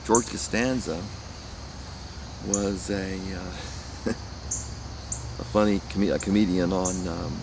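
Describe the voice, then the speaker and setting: A man rambling casually outdoors about faith, speaking haltingly with many restarts. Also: low voice, steady tone, noisy background. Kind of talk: casual spiritual musing. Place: outdoors near trees.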